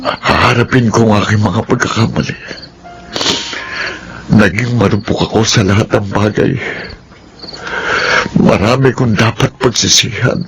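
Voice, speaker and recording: A man's voice: lowish voice, a little slow, creaky, long pauses, soft background music, poor audio. An actor playing a wayward, hot-tempered yet remorseful womanizer in a Filipino radio melodrama, with emotional delivery. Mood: remorseful, resolved.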